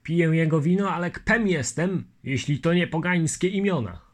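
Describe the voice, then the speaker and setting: A man's voice: a little high, moderate pace, pitched above the performer's own voice, lightly acted. A man voicing a patient, earnest Lithuanian nobleman with old-fashioned courtesy, in an audiobook reading. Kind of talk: audiobook character voice.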